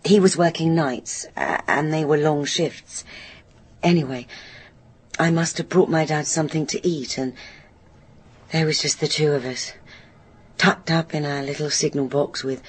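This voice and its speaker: An actress playing a middle-aged woman in a radio drama, wistfully and patiently recalling her girlhood in a husky voice. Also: low-pitched, fairly even intonation, measured pace. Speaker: narrating witness in a radio play. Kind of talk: reminiscing, naturalistic radio drama acting.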